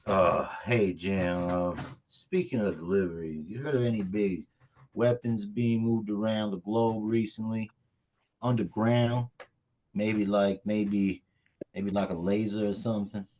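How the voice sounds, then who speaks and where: A man's voice: lowish voice, steady tone, unhurried, a little mellow, slight background noise, natural voice. A man playing a casual, foul-mouthed, gullible guy fishing for news in a comedy table read. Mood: probing.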